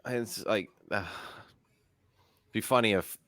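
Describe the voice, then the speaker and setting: A man's voice: fairly low pitch, slow pace, fairly bright tone, no room sound, studio quality. A man co-hosting a comedy show, bantering playfully. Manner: playful, laughing easily. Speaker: host.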